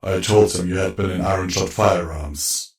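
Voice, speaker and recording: A man voicing a worried male dragon speaking through a robot in a flat, plaintive way. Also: low voice, moderate pace, close to an everyday voice. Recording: echoey, roomy sound.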